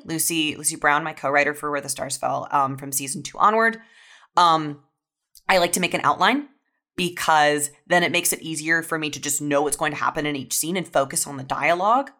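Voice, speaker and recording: A woman's voice: deep voice, pushed and bright. A woman speaking as a writer on a podcast panel, explaining her process casually in an organized, step-by-step way. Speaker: audio fiction writer, podcast guest.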